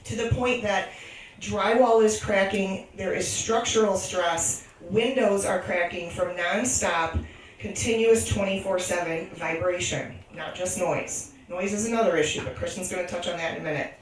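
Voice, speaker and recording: A woman on a panel lays out her points in earnest, rhythmic lists. Her voice sits in the middle range and carries a long, booming echo. The mic picks her up from a distance in a large hall, with faint noise underneath. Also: normal speed. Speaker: industrial hygienist speaking on a panel. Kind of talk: public forum talk.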